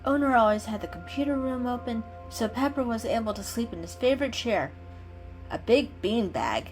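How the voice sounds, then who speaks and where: A woman reads a children's story as a dramatic storyteller. Her voice is fairly high and very expressive, swinging up and down over faint music. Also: normal speed. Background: soft music.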